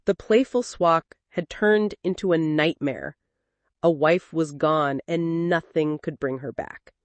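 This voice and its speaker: A woman's voice: deep voice, slow pace, no room sound. A woman narrating a scripted dramatic story as a storyteller.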